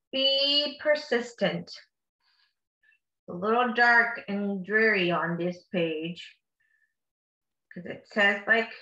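A woman's voice: medium pitch, bright, forceful tone, lo-fi sound. A woman reading a picture book aloud to children like a teacher, with encouraging, simply worded asides in between. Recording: echoey room.

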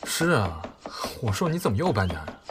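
A man's voice: lowish voice, big pitch swings, a little fast. A man acting a chatty, familiar male friend in a Mandarin audio drama, speaking casually. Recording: clear noise and clatter behind.

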